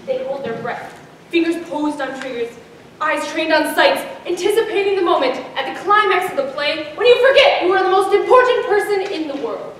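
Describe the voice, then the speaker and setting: Teen girl, stage readers theater, high voice, dramatic hush-to-punch delivery, distant mic in a booming hall. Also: expressive, fairly quick, a little hiss or hum. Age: teens. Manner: dramatic, swings from hushed to emphatic. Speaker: high school student performer. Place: large school hall on stage. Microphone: distant room mic. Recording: echoey hall from far off.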